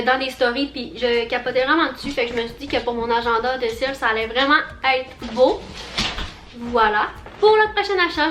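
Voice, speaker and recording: A woman's voice: high-pitched, fairly bright tone, roomy sound, a little hiss or hum. A young woman with a Quebec French accent, chatting through a casual haul vlog, bubbly and rambling with lots of fillers. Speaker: vlogger.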